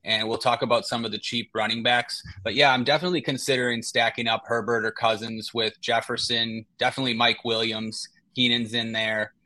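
A man with a bright, forceful tone co-hosts a sports podcast at a middle pitch. He works through his picks out loud, often pausing and restarting. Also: poor audio. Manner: thinking aloud, hesitant restarts. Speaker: podcast co-host. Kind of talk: casual sports analysis.

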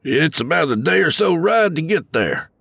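A man voicing a gruff, wary wagon driver from the old west, speaking curtly. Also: on the high side, very animated, moderate pace, a bit bright, no echo, pitched above the performer's own voice, full character voice.

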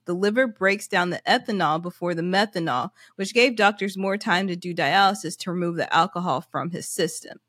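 A woman's voice: fairly low pitch, fairly bright tone, dry recording, studio quality. A woman co-hosting a podcast, casually chatting and reading posts aloud. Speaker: podcast co-host.

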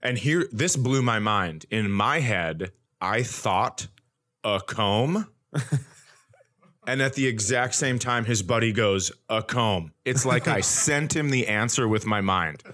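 A man's voice: fairly low pitch, lively intonation, slow, bright, forceful tone. A man in his forties, a comedian and podcast host, telling stories casually and acting out the dialogue with mock bravado. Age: 40s. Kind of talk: casual comic storytelling.

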